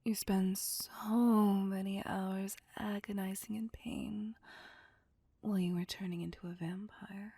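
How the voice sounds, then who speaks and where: Woman playing a breathy, slow-whispering seductive vampire mistress. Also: mid-range voice, little pitch movement, dark tone, no echo, clean studio sound. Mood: teasing, gloating. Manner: slow, teasing, domineering, purring. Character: seductive, teasing, possessive female vampire mistress. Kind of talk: ASMR roleplay, whispered.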